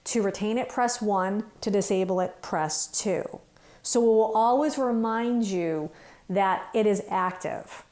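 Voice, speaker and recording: A woman's voice: medium pitch, a little slow, a little mellow. A woman working as a trainer, talking through instructions step by step in an informal, conversational way. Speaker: phone system trainer.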